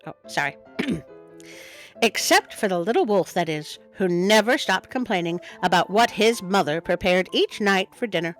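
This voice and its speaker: Expressive woman storyteller, lively pitch. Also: normal speed, soft background music. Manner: playful, expressive, self-interrupting. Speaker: storytime host and reader. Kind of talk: picture-book storytelling with chatty asides.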